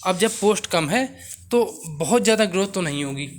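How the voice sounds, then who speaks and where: A man's voice: high voice, expressive, moderate pace, a little hiss or hum. A young man giving an informal explainer to viewers, mixing in English words and repeating his assurances. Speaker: exam coaching YouTuber.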